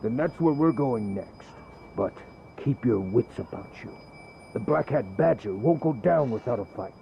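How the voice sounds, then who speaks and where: An actor playing a grim, brisk, wary warrior scout in a video game cutscene. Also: male voice, medium pitch, expressive, creaky, dark tone, slight background noise.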